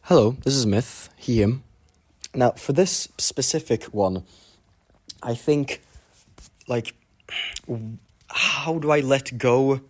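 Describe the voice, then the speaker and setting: A man's voice: mid-range voice, takes it slowly, long pauses. A man giving a casual voice submission, joking and hesitant. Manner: joking, hesitant, mock-respectful. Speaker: listener sending a voice submission. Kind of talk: casual chat.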